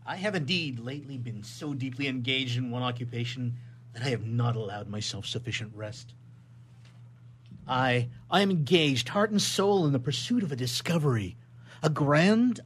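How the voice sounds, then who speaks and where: A man's voice: medium pitch, husky. A man playing an obsessed young scientist in a radio drama, speaking in a grandiose, florid theatrical manner. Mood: rapt, absorbed.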